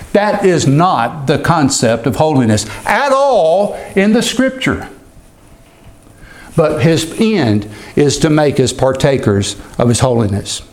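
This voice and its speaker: A man pastor preaching an earnest expository sermon, sometimes repeating a word as he goes. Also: on the high side.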